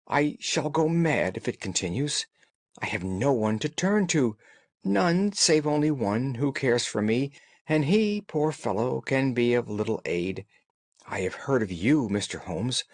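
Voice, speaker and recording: A man's voice: medium pitch, sing-song, unhurried, dry recording. A man acting as a frightened genteel woman of thirty in a storybook. The speech is formal yet agitated and pleading, with emotive rises and falls. The recording is dry. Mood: desperate, pleading. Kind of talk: audiobook character dialogue.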